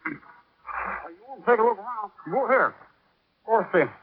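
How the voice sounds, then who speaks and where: A man's voice: high voice, animated, a little fast. A man playing a folksy, talkative, proud waterfront boatman, in an old-time radio drama style. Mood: friendly, chatty. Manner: drawling, rustic, chatty speech. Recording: muffled, noisy vintage radio recording.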